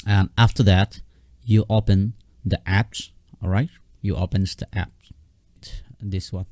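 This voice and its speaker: Deep, mellow man giving a halting tutorial. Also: steady tone, dry recording. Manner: halting, repetitive, with frequent check-in words. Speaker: software tutorial host. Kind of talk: casual unscripted screen tutorial.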